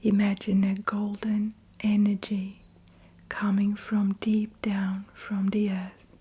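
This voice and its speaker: A woman whispers a guided meditation at an extremely slow pace. Her voice is very breathy and soft-edged, with little movement in pitch. She sets out each short phrase deliberately and leaves long pauses. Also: monotone.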